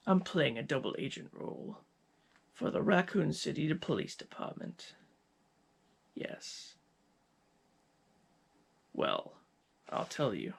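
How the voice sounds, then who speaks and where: A man playing a cold, gloating scientist villain in an ASMR roleplay, speaking with deliberate, menacing pauses in a gravelly, husky voice. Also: a little high, full character voice. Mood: gloating, sinister.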